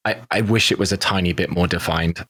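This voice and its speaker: A man's voice: deep voice, fairly even intonation, fairly quick, a bit bright, slightly rough, dry recording. Man chatting with a close, dry sound. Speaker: podcast cast member and player. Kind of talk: casual roundtable chat.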